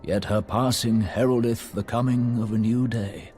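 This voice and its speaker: An actor playing a solemn, grieving scholar in a video game, speaking formal, archaic, theatrical English. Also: male voice, on the low side, fairly even intonation, moderate pace, darkish tone, soft background music, close to an everyday voice. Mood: solemn, reflective. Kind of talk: scripted video game drama acting, archaic diction.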